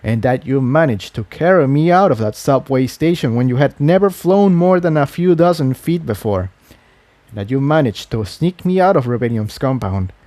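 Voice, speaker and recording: A man voicing a modest young male unicorn pony, soft-spoken and earnest, in a storybook reading. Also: medium pitch, animated, normal speed, no echo, natural voice. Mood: gentle reassurance.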